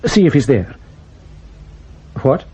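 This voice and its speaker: An actor playing a brisk, worried senior security officer in a radio spy drama, hesitant yet commanding and clipped. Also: male voice, medium pitch, big pitch swings, mellow, husky, heavily acted. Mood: anxious. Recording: dull radio drama sound, faint noise.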